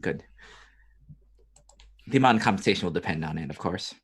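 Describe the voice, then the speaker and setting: Low-voiced officious company rep played by a man. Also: expressive, fairly quick, a bit bright, husky, full character voice. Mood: stiff, officious. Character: officious corporate company representative aboard a spaceship.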